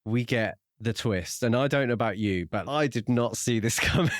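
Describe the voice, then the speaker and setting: A man's voice: medium pitch, moderate pace, no room sound, studio quality. A man co-hosting a podcast and casually discussing a book. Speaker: podcast co-host.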